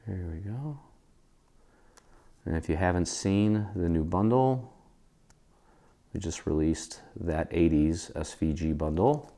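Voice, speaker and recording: An American man speaks in a low voice as he hosts a craft tutorial. His delivery is casual and easygoing, walking through each step informally. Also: lively intonation, takes it slowly, pauses often, dark tone. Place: indoor craft studio.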